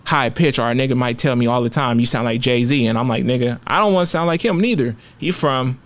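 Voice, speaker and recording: A man's voice: medium pitch, a little fast. A man, talking candidly and with plenty of profanity and slang as a rapper guest in a casual interview. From Oakland, California.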